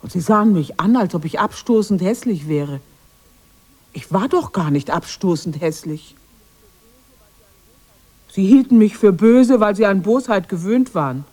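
A woman's voice: mid-range voice, lively intonation, unhurried, pauses often, a little mellow. An actress playing a lonely, misunderstood witch of the woods in a radio drama, defending herself in a plaintive way. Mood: plaintive, defensive. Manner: plaintive, self-justifying.

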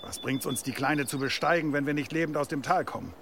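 A man's voice: on the high side, fast, vocal fry, fairly bright tone, a little hiss or hum. An actor playing a pragmatic, shrewd henchman in a German historical audio drama, reasoning in an urging manner. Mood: urgent, reasoning. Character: pragmatic, shrewd male henchman advising his leader.

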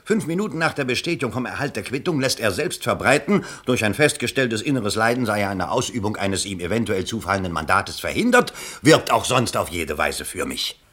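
Scheming bourgeois politician, radio play, low bright husky voice, pitch swings all over, urgent and bossy. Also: male voice, animated, brisk, few pauses. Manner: theatrical, urgent, commanding. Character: scheming, agitated bourgeois election candidate, master of the house. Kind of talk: 1970s German radio play acting.

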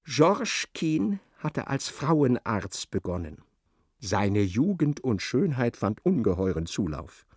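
A man narrating a literary radio drama in a measured, articulate, storytelling way. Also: medium pitch, big pitch swings, a little mellow, breathy edge, no echo, studio quality, exaggerated voice.

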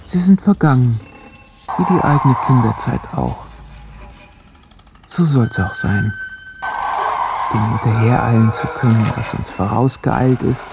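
A woman narrating a poetic radio drama in a slow, hushed and reflective way. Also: extremely low pitch, over loud music.

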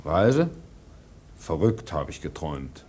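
A proud whaling ship's mate in a radio play. The voice is husky and fairly low. He speaks bluntly and earnestly, with plain emphasis. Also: sing-song, takes it slowly, a little mellow, heavily acted. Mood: bewildered, confiding. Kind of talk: German radio drama acting.

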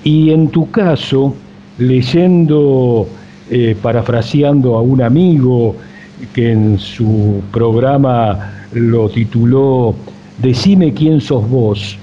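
An Argentine man speaks slowly as a radio interviewer, choosing formal, reflective phrases. His pitch is average for a man. The recording is a little dull, with some noise. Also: mellow.

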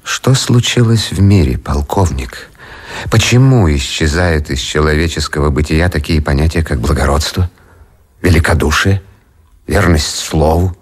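A grave, honorable officer who has lost his illusions. The voice is very deep, with an earnest, measured, rhetorical delivery suited to radio drama. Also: husky. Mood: troubled, indignant. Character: disillusioned, principled military officer, grave and honorable. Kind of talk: radio play acting.

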